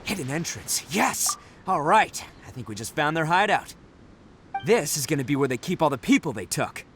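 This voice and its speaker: A cocky young oni gang boss in a game, brash and big-hearted. His delivery swings widely in pitch and swaggers with slangy boasts. There is clear ambient noise behind him. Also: sing-song, normal speed. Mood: confident. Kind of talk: animated video game voice acting.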